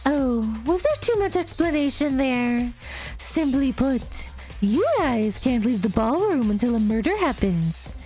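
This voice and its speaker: A woman voicing a mischievous, gleefully cruel male bear mascot, with a teasing, drawn-out delivery as she reads a game's dialogue aloud. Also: high-pitched, big pitch swings, dark tone, faint music behind, voice pushed up. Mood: gleeful taunting.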